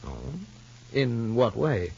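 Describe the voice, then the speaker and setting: An actor playing a formal child psychologist in a radio soap opera, probing gently and deliberately. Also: male voice, mid-range voice, expressive, gravelly, husky, full character voice. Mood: calm, probing. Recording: muffled old radio recording.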